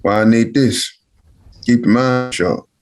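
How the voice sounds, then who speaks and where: A man acting a cranky, stubborn old family elder in a drama reading. He grumbles in a folksy, salty-tongued way, at a medium pitch for a man. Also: slow pace, close to an everyday voice. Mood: grave, wary. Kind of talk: acted table read.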